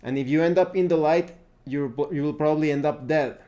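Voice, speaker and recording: A man's voice: fairly high pitch, a little fast, warm tone. A man speaking as a review host, explaining and recommending in a casual, unscripted way with hesitations and self-corrections. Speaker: tabletop game review host.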